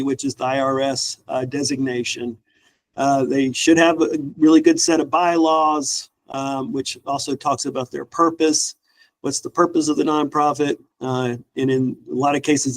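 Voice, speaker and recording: A man's voice: fairly high pitch, poor audio. Stuffy-voiced man giving a webinar talk. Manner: relaxed, many ums and uhs. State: stuffy, recovering from illness. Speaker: library director presenting training. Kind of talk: webinar lecture.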